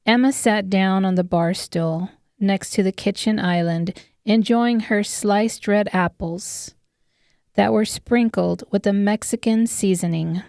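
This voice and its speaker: A woman narrates an audiobook from a script. She reads slowly in a smooth, clear voice of middle pitch, close and dry. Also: darkish tone, dry recording. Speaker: author narrating her own audiobook.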